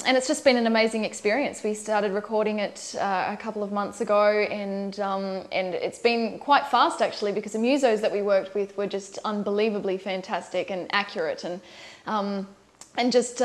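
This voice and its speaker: A woman's voice: mid-range voice, steady tone, moderate pace. A young Australian country singer talking casually about her life and her new album, with many ums and uhs. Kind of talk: promotional interview monologue.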